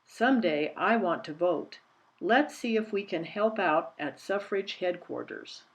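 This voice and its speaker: A woman's voice: on the low side, animated, a little slow, low-quality recording. Woman reading as an emphatic, spirited young girl. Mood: earnest. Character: spirited, indignant young girl, eager and questioning.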